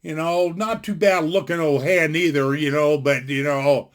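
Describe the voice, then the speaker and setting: An older man in his sixties with a fairly high-pitched voice speaks from his home into a desktop computer microphone. He talks in a blunt, folksy, opinionated way, rambling and restarting his sentences as he goes. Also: fairly even intonation, moderate pace. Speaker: vlogger. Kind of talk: casual opinion rant to camera. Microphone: desktop computer mic.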